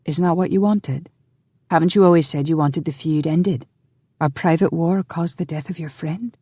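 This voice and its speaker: A narrator voicing a headstrong young woman who leads a clan, loving, defiant and quick to plead or protest. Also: medium pitch, mellow, breathy edge, no echo, pitched above the performer's own voice, natural voice. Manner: pleading, indignant.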